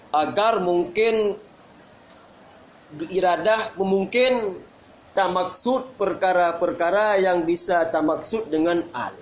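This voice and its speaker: High-voiced teacher in an echoey room. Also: male voice. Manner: reads Arabic then explains, repeating key terms. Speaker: religious teacher. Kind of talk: explaining an Arabic classical text. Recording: echoey room with faint background noise.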